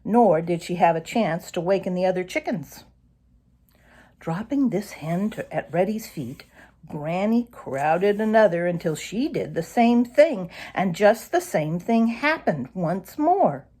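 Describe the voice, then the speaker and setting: A woman reads a children's story aloud as narrator, in an expressive storytelling style. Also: lowish voice, unhurried. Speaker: storybook reader.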